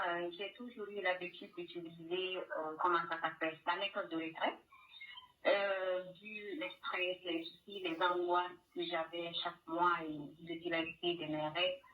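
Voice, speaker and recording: A woman from Guinea calling in to a radio show, hesitantly recounting a personal experience over a shortwave broadcast. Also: lowish voice. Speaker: caller. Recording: echoey, distant, dull call on shortwave radio.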